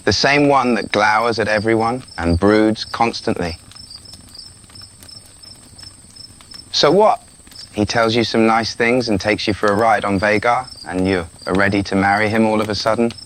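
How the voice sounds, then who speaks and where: A man's voice: lowish voice, normal speed, a bit bright, slight background noise, lightly acted. A man with a British accent playing a restless, rebellious young prince in an intimate, sardonic audio roleplay. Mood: incredulous, scornful. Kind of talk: scripted audio roleplay addressed to the listener.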